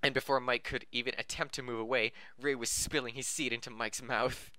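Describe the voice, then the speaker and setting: A man's voice: medium pitch, fairly even intonation, fairly quick, sharp tone. A man reading a story aloud as an amateur podcast host, with joking asides and corrections of his own slips. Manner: breaks off to laugh, joke and correct misreadings.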